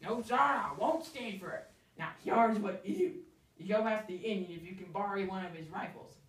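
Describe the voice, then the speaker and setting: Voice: mid-range voice, lively intonation, moderate pace, reverberant, far from the mic, natural voice. A performer voicing a folksy, blunt backwoods man with a rustic drawl, in a dramatic story reading. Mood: alarmed, urging. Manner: folksy drawl, rustic dropped-word speech.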